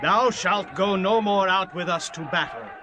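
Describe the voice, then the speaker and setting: An actor playing a loyal, protective soldier shouting urgently in a dramatized scripture reading. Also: male voice, high-pitched, lively intonation, normal speed. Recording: loud crowd and noisy outdoor ambience.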